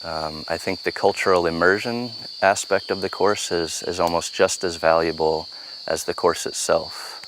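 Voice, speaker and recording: A man, a permaculture co-instructor, speaking in an earnest, measured way in an interview. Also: sits low, steady tone, noisy background. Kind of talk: interview to camera.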